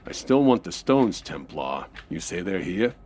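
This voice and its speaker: A very low, husky voice a tough gangster boss in a comic radio crime play. He talks in clipped, sardonic wisecracks and threats, with a lively swing in pitch. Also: a little slow, exaggerated voice. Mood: cold, impatient. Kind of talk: comedic old-time radio crime drama acting.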